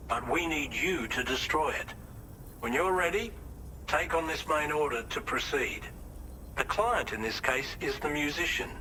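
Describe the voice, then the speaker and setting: An actor playing a businesslike mission coordinator in a video game, giving orders in an official, matter-of-fact manner. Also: male voice, medium pitch, sharp tone, slight background noise, poor audio.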